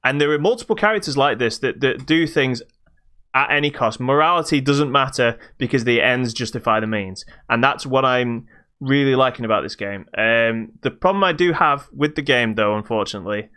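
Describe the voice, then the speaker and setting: A man co-hosting a podcast, reviewing games in casual chat with halting explanations that trail into dismissive asides. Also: medium pitch, bright, forceful tone, clean tone. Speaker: podcast co-host.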